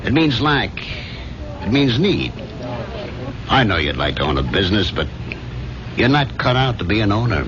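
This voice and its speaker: Brash boss with swooping pitch. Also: male voice, very animated, normal speed, pauses often, a crowd in the background. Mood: blunt, dismissive. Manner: glib, slangy, overbearing patter. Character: brash, fast-talking, domineering businessman boss. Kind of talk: 1970s radio drama acting. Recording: dull, noisy old radio broadcast recording.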